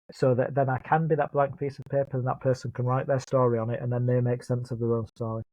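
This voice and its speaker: A man's voice: medium pitch, a little mellow, dry recording, rough recording. A man with a Leeds accent, speaking earnestly and thoughtfully as a guest in an interview, often repeating words as he starts a thought. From Leeds, England. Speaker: guest, project lead. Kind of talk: reflective interview conversation.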